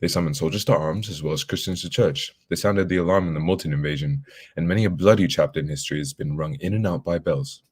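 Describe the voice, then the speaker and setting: Man acting sardonic detective, low voice, very quick, dry, condescending, cerebral, radio play. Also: darkish tone, husky. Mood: dry, musing. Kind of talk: old-time radio drama acting.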